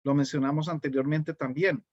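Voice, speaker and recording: A middle-aged Colombian man gives a language-teaching webinar in a relaxed, unhurried way. His voice sits a little high for a man. The sound is dry and dull, like a basic video-call mic. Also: no echo. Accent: Colombian Spanish. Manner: calm and unhurried, often checking in with the listener. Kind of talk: webinar presentation.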